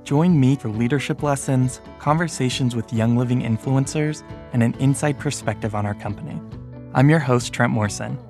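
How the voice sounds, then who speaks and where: A man's voice: mid-range voice, normal speed, darkish tone, faint music behind. A man hosting a podcast, introducing the show and interviewing a guest in a friendly, attentive manner. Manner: friendly, attentive, encouraging listener. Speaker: podcast host.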